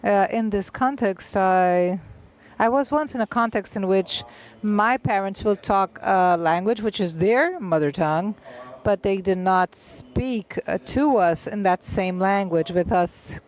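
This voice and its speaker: A live interpreter carries a festival panel discussion into English. The delivery comes in short, halting phrases broken by frequent filled pauses and self-corrections. The pace speeds up and slows down unevenly, and the voice sits at a middle pitch.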